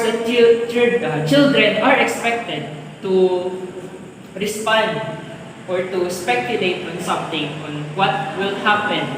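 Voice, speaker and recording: A woman giving a class presentation as a student presenter, explaining point by point. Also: mid-range voice. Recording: very echoey hall, distant mic, noisy.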